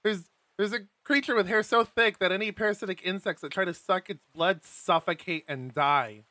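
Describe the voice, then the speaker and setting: A man with a fairly high, bright and forceful voice, hosting a live game stream. He reads a visual novel's text aloud, breaks off into casual, self-deprecating asides, and sits close to a dry, dead-sounding streaming mic. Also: normal speed, no echo. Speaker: streamer host.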